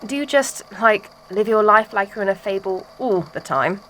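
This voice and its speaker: A sardonic, skeptical friend acted by a woman in an amateur audio drama. The voice is medium in pitch, with dry, blunt, faintly exasperated delivery over slight background noise. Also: animated, normal speed, fairly bright tone, close to an everyday voice, low-quality recording. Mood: incredulous, dry.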